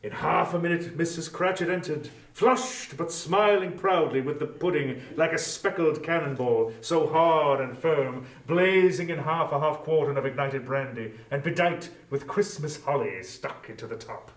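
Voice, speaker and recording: Higher-voiced male storyteller in echoey room. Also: normal speed, few pauses. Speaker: narrator. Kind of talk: scripted dramatic storytelling narration. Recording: roomy echo, distant from microphone.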